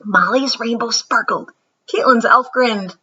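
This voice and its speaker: Woman reading a kids book out loud, high lively voice, kinda lo-fi recording. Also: measured pace, breathy edge. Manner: animated, dramatic storytelling with whispered asides. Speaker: storybook reader. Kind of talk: expressive read-aloud narration of a children's picture book.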